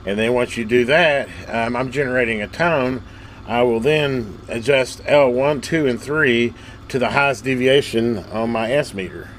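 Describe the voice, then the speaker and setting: A man's voice: mid-range voice, moderate pace. Casual man demonstrating over background noise. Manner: informal, explains step by step while working. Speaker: host of a hobby electronics repair channel.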